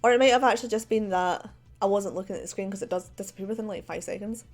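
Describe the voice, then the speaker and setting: A woman's voice: mid-range voice, fast, a little mellow. A woman from Scotland with a central belt Scottish accent, chatting casually on a livestream at her desk, rambling with lots of fillers and laughs. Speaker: streamer. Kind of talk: casual livestream chat while drawing. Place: home desk. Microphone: desk microphone. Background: faint background music.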